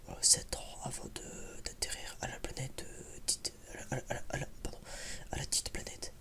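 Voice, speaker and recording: A man's voice: moderate pace, sharp tone. A man whispers a casual ASMR film review in a hesitant, rambling, informal way. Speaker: video creator giving a film review.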